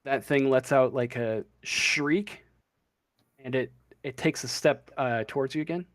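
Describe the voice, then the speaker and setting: A man acting as game master, narrating a monster's attacks in a loose, halting way with many fillers. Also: mid-range voice, dry recording, studio quality. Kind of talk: narrating a tabletop game over voice chat.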